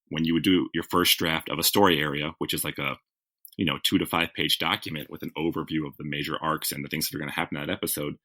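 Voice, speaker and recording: Deep-voiced man telling stories. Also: bright, forceful tone. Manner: reflective, self-correcting, with frequent "like" and "you know". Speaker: guest, TV writer. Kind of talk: conversational interview storytelling.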